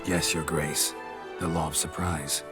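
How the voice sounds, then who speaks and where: An actor playing a stoic, world-weary monster hunter who talks in laconic, deadpan, clipped sentences in a video game. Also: male voice, extremely low pitch, a little slow. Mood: businesslike. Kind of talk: video game dramatic acting. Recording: music under the voice.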